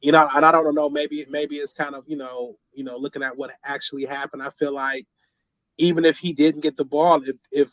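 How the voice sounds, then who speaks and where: A man with a slightly hoarse, scratchy voice from a cold, giving laid-back football opinions as a sports show co-host. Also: on the high side, level tone, brisk, fairly bright tone, dry recording. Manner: laid-back, fillers like "you know what I'm saying". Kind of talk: casual sports talk.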